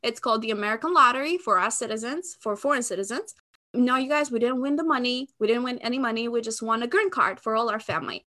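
This is a woman of about thirty who grew up in Albania and speaks English with an Albanian accent. Her voice sits high and has a bright, forceful tone. She talks in an expressive, conversational way, like a guest explaining her career. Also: quick pace. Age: around 30. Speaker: podcast guest, sales expert. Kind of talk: conversational interview answers.

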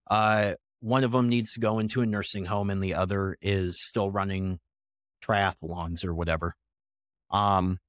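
A man around thirty speaking as a podcast guest, explaining research in a measured, conversational way with pauses between phrases. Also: fairly low pitch, a bit bright, no room sound, clean studio sound. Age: 30s.

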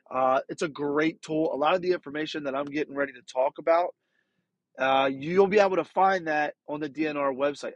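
A man's voice: fairly high pitch, no room sound, highs cut off. A man in his late twenties hosting a casual outdoors podcast, sounding nasal from being sick, rambling with lots of fillers and restarts. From Maryland. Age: late 20s. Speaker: podcast host.

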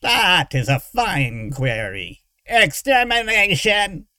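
A man voicing a crazed villain mage in a fantasy audiobook, shrill and whining, with grandiose archaic pronouncements. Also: big pitch swings, slow pace, bright, forceful tone, no echo, clean studio sound, exaggerated voice.

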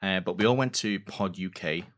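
A man with a low, deep voice chats casually as a podcast guest. He starts haltingly, repeating words as he works toward his point. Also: fairly bright tone. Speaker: podcast guest, audio drama creator. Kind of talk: casual interview chat.

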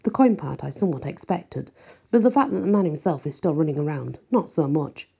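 A woman reading first-person fiction aloud as an expressive audiobook narrator. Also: low-pitched, fairly quick, creaky, breathy edge.

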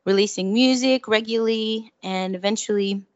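A woman's voice: mid-range voice, moderate pace, dry recording, dull audio. An Australian woman chatting casually and effusively as a guest singer-songwriter in an interview. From Melbourne, Australia.

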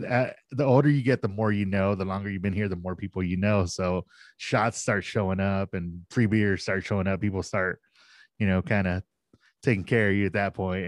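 A man's voice: sits low, no room sound. A man in his forties, a sports league director of operations, chatting casually and self-deprecatingly in an interview. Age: 40s. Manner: easygoing, self-deprecating, laughs often.

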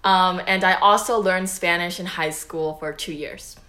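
A teenage girl from the US, co-hosting a podcast in a relaxed, chatty way with lots of "like" and "um". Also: lowish voice, measured pace, reverberant. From United States. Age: teens. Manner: relaxed, chatty, many fillers like "like" and "um". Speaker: podcast host. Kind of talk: casual chat.

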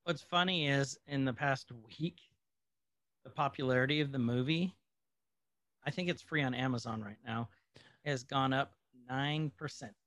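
A man's voice: medium pitch, moderate pace, fairly bright tone, no echo. A man co-hosting a podcast, chatting casually and with opinions about old movies. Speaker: podcast co-host.

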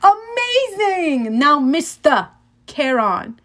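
A woman voicing a smooth, condescending male underworld ferryman with a British-leaning accent, as in an audiobook. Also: sits high, sing-song, extremely slow, a bit bright, pitched above the performer's own voice, full character voice. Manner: suave, drawling, condescending.

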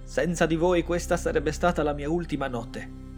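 A man's voice: on the high side, fairly even intonation, normal speed, a little mellow, soft background music, lightly acted. An actor playing a frightened, weary male innkeeper of a forest inn in an Italian audio drama. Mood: grateful.

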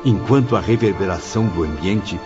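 A man acting as a spirit narrating his memories in a radio drama, in an expressive literary manner. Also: deep voice, fairly quick, close to an everyday voice. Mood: wistful recollection. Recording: muffled, music underneath.

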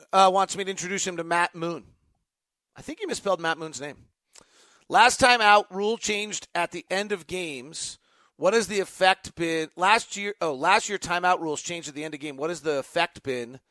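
A man with a fairly high voice hosts an unscripted sports talk show. He thinks aloud, pausing and restarting, and tosses out rhetorical questions. The sound is close and dry. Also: fairly bright tone, dry recording. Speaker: host, sports radio announcer answering fan questions.

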